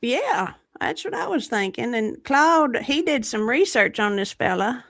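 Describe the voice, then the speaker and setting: A woman's voice: fairly high pitch, big pitch swings, measured pace, fairly bright tone, dry recording, studio quality. A woman playing a folksy grandma who hosts a chat show, talking in a hesitant drawl. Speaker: host. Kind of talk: improvised comedy chat in character.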